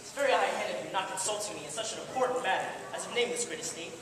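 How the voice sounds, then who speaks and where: A woman's voice: mid-range voice, expressive, rapid-fire, a crowd in the background, close to an everyday voice. A young woman playing a grumpy, solitary, put-upon first man in an amateur stage play, complaining in an exasperated, pompous way. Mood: pompous, aggrieved. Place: large echoey hall, live stage performance. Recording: echoey hall, distant mic, noisy.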